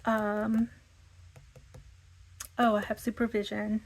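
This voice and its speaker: A woman with a slightly raspy voice, chatting casually and thinking aloud with lots of fillers as she plans her week. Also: mid-range voice, monotone, slow pace. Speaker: vlogger. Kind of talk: casual planning chat.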